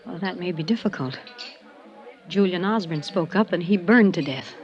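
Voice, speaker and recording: A woman's voice: on the low side, darkish tone, close to an everyday voice. Woman acting hesitant, uneasy schoolteacher, dull vintage radio. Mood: grave, earnest. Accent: American. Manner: hesitant, halting, earnest. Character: uneasy, earnest small-town schoolteacher woman, decent and conscience-stricken. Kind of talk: 1950s radio drama acting.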